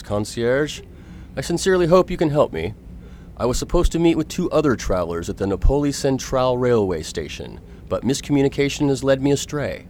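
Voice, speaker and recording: A man playing a formal, courteous Victorian gentleman who narrates his own adventures in a radio drama. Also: medium pitch, slight background noise, natural voice. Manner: formal, courteous, measured old-fashioned English. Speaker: narrator and lead character.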